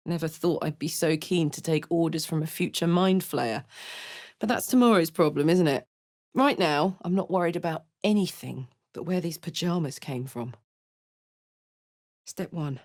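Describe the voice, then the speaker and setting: Game role: brash, slangy female fighter with a big heart, slightly low voice, takes her time. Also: no room sound, studio quality. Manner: blunt, slangy, swearing. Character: brash, big-hearted, battle-hardened woman warrior. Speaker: video game character voice actor. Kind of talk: video game character voice lines.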